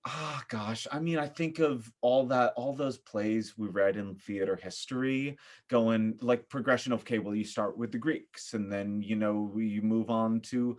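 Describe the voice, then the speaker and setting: Thoughtful, halting man in conversation. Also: lowish voice, steady tone, a little mellow. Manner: thoughtful, halting, groping for words. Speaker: podcast guest. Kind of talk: casual reflective conversation.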